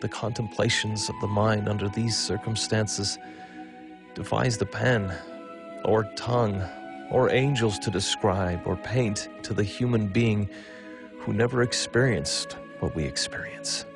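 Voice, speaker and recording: An actor reading old letters as a devout 19th-century husband, earnest, formal and solemn, for a documentary. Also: male voice, fairly low pitch, big pitch swings, unhurried, music in the background. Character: devout 19th-century husband writing tenderly to his wife.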